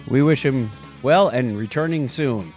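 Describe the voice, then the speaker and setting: A man's voice: mid-range voice, a little slow, darkish tone, faint music behind. A man hosting a podcast in a casual, playful, joking style. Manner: jokey, playful. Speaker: podcast host. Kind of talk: casual chat, listener shout-outs.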